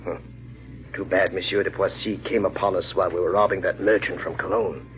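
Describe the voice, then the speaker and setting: An actor playing a ruthless, smug bandit leader and husband in an old-time radio drama, mocking and commanding. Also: male voice, deep voice, steady tone, fairly quick, natural voice. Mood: irritated, smug. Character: ruthless, smug husband secretly leading a murderous bandit gang. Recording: muffled, noisy vintage radio recording.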